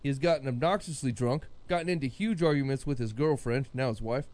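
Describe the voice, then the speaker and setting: A man's voice: mid-range voice, quick pace, darkish tone. A man co-hosting a podcast, chatting casually and reading aloud in a conversational way. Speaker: podcast co-host. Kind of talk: casual group banter and reading out a listener letter.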